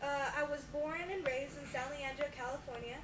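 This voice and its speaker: Young woman as high-voiced earnest scientist guest, distant. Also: steady tone, brisk, lightly acted. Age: young adult. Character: earnest female scientist and exhibit creator as guest. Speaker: interview guest. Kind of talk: homemade mock talk show interview. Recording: distant, echoey, noisy homemade video audio.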